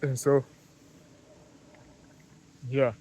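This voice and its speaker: A man from Ghana with a Ghanaian accent, talking casually to camera in a vlog, halting with many fillers and restarts. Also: medium pitch, level tone, dark tone, a little hiss or hum, low-quality recording. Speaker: vlogger, car detailer.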